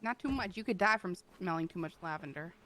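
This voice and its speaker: A woman's voice: lowish voice, lively intonation, quick pace, fairly bright tone, a little hiss or hum. A woman improvising casual roleplay chat with emphatic, playful storytelling. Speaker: roleplay game player.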